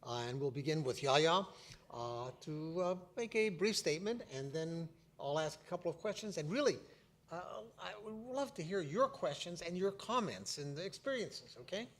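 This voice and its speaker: A man's voice: on the high side, lively intonation, moderate pace. A man moderating a panel discussion, reading out panelist introductions with many hesitant fillers.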